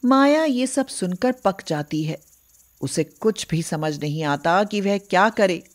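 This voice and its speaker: A woman's voice: on the low side, lively intonation, slow. A woman narrating a scripted moral story as a storyteller.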